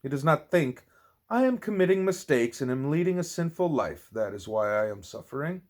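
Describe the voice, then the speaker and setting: A man's voice: a little high, sing-song, normal speed. A man reading a spiritual teaching aloud like an audiobook, occasionally stumbling and repeating words. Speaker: audiobook reader.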